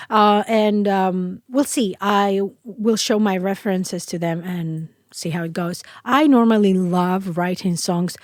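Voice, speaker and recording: Chatty singer, dry studio sound. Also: female voice, medium pitch, a little slow, few pauses, no room sound. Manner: chatty, animated, frequent fillers and restarts. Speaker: guest singer. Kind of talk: casual interview chat. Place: small recording studio. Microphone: studio microphone.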